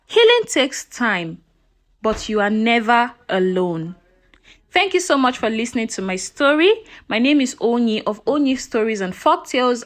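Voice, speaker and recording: A woman storyteller narrating a scripted folktale in a dramatic, earnest way, speaking directly to her listeners. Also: a little high.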